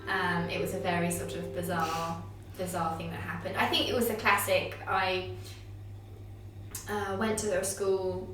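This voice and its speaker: A young woman with a squeaky voice talking as a podcast guest. She speaks casually, laughs often and restarts her sentences with lots of fillers. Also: fairly bright tone, slight background noise. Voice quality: high, squeaky voice. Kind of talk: casual reflective interview chat. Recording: very echoey room, distant from mic, faint ambience.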